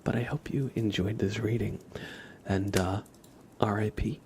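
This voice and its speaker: Slow, low, hushed ASMR man. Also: fairly even intonation, a little hiss or hum. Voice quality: soft, hushed, often dropping toward a whisper. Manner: laid-back, gently joking, halting pauses. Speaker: ASMR livestream host. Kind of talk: ASMR, casual chat and tarot reading for viewers.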